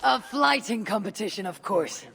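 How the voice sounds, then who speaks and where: An actress playing a tough, terse female Viking raider in a video game, with a gravelly voice and dry humor. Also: mid-range voice, lively intonation, normal speed, fairly bright tone, slight background noise. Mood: challenging. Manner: blunt, terse. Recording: crowd murmur behind.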